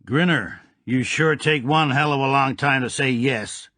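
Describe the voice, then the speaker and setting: A man's voice: mid-range voice, measured pace, bright, forceful tone, no echo, voice pushed up. A man voicing a sour, impatient male gangster.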